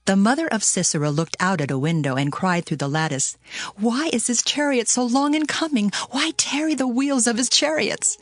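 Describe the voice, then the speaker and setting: A woman voicing a dramatic storyteller in an expressive, theatrical scripture reading. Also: a little high, normal speed, no echo, studio quality, close to an everyday voice. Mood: anxious. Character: female storyteller voicing anxious mother and boastful ladies. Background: music.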